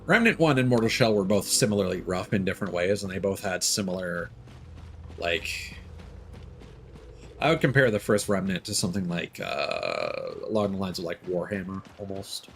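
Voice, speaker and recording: A man with a fairly low voice gives casual, off-the-cuff gameplay commentary. He muses haltingly as he plays, thinking aloud. Clear background noise from the game runs under his voice. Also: very animated, a bit bright. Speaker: video game live streamer. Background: video game ambience.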